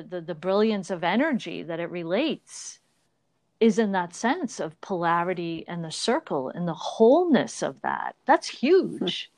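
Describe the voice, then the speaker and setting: A woman from Boston co-hosting a podcast, soft-spoken and affirming, in relaxed chat. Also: fairly low pitch, no echo. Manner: soft-spoken, gives frequent quiet affirmations. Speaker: podcast co-host.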